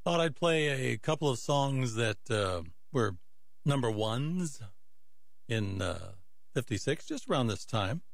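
A man works as a radio disc jockey, chatting in a folksy, casual way straight to the listener. His voice sits at a medium pitch, close on the mic in a dry studio with little room sound. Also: animated, slow, vocal fry, dry recording. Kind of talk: casual music radio chat between records.

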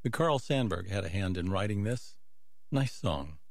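A man's voice: lowish voice, very animated, normal speed, creaky, dry recording. Dry-sounding man hosting a music radio show. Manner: informal, chatty record-collector asides. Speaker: radio host. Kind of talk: casual music radio show presenting between records.

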